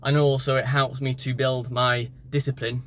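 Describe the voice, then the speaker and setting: A man's voice: mid-range voice, little pitch movement, moderate pace. A man, a native English speaker, talking casually in a vlog about learning languages, tired after the gym and rambling with many fillers and restarts. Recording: street and car noise behind.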